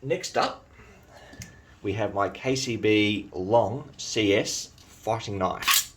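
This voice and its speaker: A man's voice: on the low side, animated, takes it slowly, background noise. A man from New Zealand with an Australian accent, chatting enthusiastically as a collector showing his collection in a casual vlog, with frequent fillers. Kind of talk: casual show-and-tell vlog.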